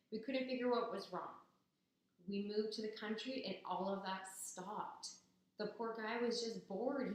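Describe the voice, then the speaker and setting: A young woman from Ontario, hoarse and raspy after a cold, giving a chatty, upbeat persuasive talk to the camera. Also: medium pitch. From Ontario, Canada. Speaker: business student. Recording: very echoey, roomy sound.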